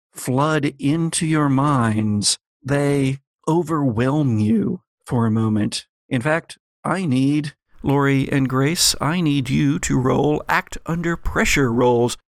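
A man working as game master, narrating a gothic story in a deliberate, theatrical way. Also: mid-range voice, no room sound. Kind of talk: dramatic scripted narration and game narration.

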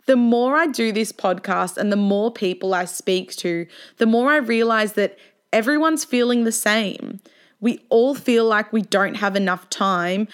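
Young woman in her twenties, podcast host, with a smooth, clean voice at an ordinary middle pitch. She talks in a candid, self-mocking way while reading a scripted narration. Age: 20s.

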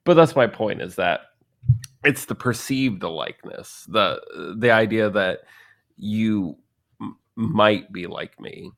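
Gravelly-voiced man in his thirties. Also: fairly low pitch, slow pace, a bit bright. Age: 30s. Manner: rambling, jokey, acts out quoted people. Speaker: podcast co-host. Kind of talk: casual chat.

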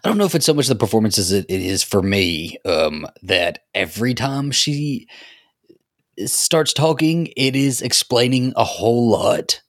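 A man's voice: mid-range voice, animated, unhurried, dry recording, clean studio sound. A man co-hosting a casual podcast, tentative and hesitant as he thinks aloud. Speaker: podcast co-host. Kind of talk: casual review chat.

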